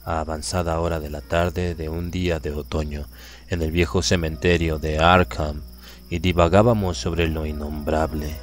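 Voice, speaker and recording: A man with a Latin American Spanish accent reading a literary horror story aloud in a measured, grave manner. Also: very deep voice, fairly even intonation. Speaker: audiobook narrator. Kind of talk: scripted literary narration.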